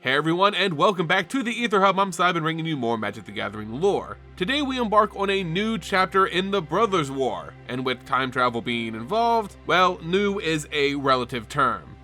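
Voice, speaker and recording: A man's voice: a little high, big pitch swings, normal speed, hardly any pauses, pushed and bright. A man hosting and narrating a fantasy lore show, reading a script in a dramatic, emphatic storytelling manner. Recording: background music under the voice. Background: music.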